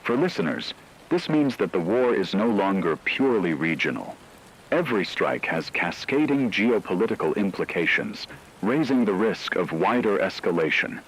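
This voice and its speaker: Low-voiced man as a radio war news anchor. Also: very animated, normal speed, fairly bright tone, a little hiss or hum, rough recording. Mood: grave. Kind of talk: scripted emergency news broadcast.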